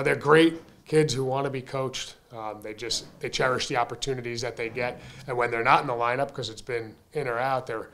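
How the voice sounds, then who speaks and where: A man in his thirties speaks into a desk microphone at a postgame press conference. He answers as a baseball coach, candid and conversational, with plenty of "um" and "you know". His voice sits at an ordinary middle pitch and rises with energy on key points. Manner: candid, conversational, frequent fillers, energetic emphasis. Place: press room in front of a sponsor backdrop. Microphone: desk mic on a stand.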